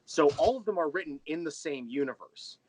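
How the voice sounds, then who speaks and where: A man's voice: on the high side, expressive, brisk, a little hiss or hum. An American man chatting casually as an interview guest, candid and self-deprecating in a rambling way. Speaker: interview guest, audio drama creator.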